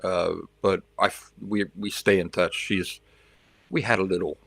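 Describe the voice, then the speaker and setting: Low-voiced man chatting on a livestream. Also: steady tone, measured pace, gravelly, a bit bright, no room sound. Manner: chatty, rambling, reacts to viewer comments; switches between English and learner's Spanish. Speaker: livestream host. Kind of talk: casual chat with viewers.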